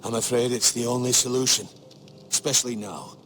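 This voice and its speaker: A man's voice: medium pitch, fairly even intonation, fairly bright tone, a little hiss or hum, lightly acted. Man playing a prudent, grave castle steward. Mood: solemn, consoling. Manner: measured, earnest, formal. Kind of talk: dramatic fantasy game voice acting.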